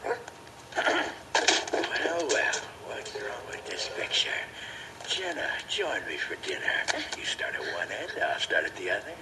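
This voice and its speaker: A man's voice: sits low, huge pitch swings, a little slow, creaky, pauses often, heavily acted. Man voicing smug cartoon dog with wildly swooping delivery. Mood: smug, flirtatious. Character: smug, swaggering male dog, oily flirting bully. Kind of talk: amateur fandub of an animated film. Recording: noisy amateur home recording.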